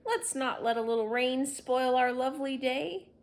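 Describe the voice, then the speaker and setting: A woman voicing a joyful, excitable male monster in a storybook, announcing things in exclamations and drawn-out hoots. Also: sits high, pitched above the performer's own voice. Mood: cheerful.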